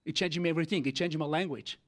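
A man whose first language is Italian, speaking English with an Italian accent as he gives a church testimony in halting speech full of fillers. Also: on the high side. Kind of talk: testimony sermon.

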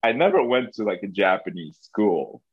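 A young man from Hong Kong, a podcast guest, talking in a relaxed, thoughtful way with lots of fillers. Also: fairly low pitch, moderate pace, breathy edge, dry recording, dull audio. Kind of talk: casual interview.

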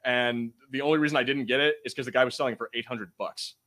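A man's voice: medium pitch, steady tone, quick pace, bright, forceful tone. Casual, joking man on a podcast. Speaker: podcast co-host. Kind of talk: casual banter, storytelling.

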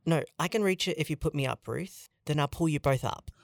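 A woman's voice: deep voice, brisk, creaky, dry recording, studio quality. A woman playing a blunt, sardonic and confident traveling companion in a fantasy audio drama. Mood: irritated, determined. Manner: blunt, needling. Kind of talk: naturalistic audio drama acting.

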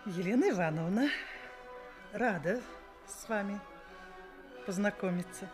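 An actress playing a genteel middle-aged mother in a radio play, formal and deliberate. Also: medium pitch, lightly acted. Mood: polite, appraising. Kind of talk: 1980s Soviet radio play acting. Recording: theatrical radio play with music behind.

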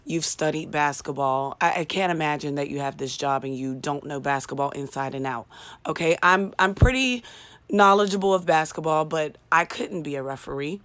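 A woman hosting her own podcast in a casual solo monologue, with a raspy voice and a chatty, candid manner that drifts into swearing rants and self-mocking humor. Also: low voice, normal speed, fairly bright tone, no echo. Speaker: podcast host.

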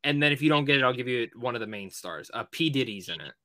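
A male podcast co-host with a bright, forceful mid-range voice in a very dry recording. He chats casually and animatedly, throwing in slang and swearing. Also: medium pitch, animated, fast, no echo. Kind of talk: casual movie chat.

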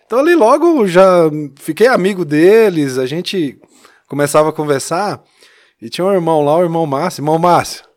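A man in his late thirties with a Mineiro accent, from Minas Gerais. He tells his story in a loose, chatty way, laughing easily and adding "né?" as he goes. His voice sits slightly high for a man. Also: animated, fairly quick, a little mellow. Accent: Mineiro Brazilian Portuguese. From Minas Gerais, Brazil. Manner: chatty, laughs often, many "né?" tags. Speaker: church youth leader, podcast guest. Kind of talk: casual storytelling chat.

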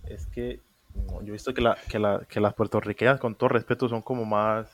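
A man's voice: lowish voice, steady tone, a little fast, no room sound. A Colombian man with a Colombian accent, a podcast guest who often hesitates and trails off. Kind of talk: casual chat.

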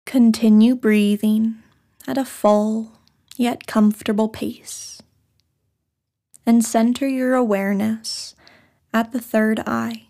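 A woman leading a guided meditation in a soft, breathy, soothing voice, speaking short phrases with long pauses. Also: a little high, steady tone. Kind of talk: guided meditation, scripted narration.

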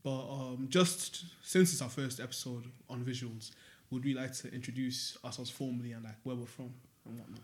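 A man's voice: medium pitch, steady tone, moderate pace, few pauses. A man in his twenties from Dublin, co-hosting a podcast, easygoing and asking the others questions. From Dublin, Ireland. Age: 20s. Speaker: podcast co-host. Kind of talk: casual chat.

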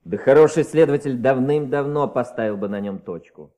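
A man's voice: mid-range voice, a little fast, mellow, natural voice. An actor playing a skeptical but deferential police inspector under his chief in a radio play. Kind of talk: Soviet radio play acting.

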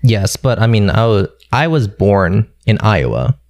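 A young man from Philadelphia talks casually about his childhood, rambling and swearing now and then. His voice sits low with a gravelly edge. The sound is dry and close. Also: very animated, measured pace, darkish tone, no room sound. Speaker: guest. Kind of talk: casual conversation.

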